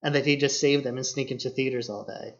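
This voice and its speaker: A man's voice: medium pitch, steady tone, quick pace. A man co-hosting a podcast, explaining film matters in an informal, casual discussion. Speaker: podcast co-host. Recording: dull, muffled lo-fi podcast audio.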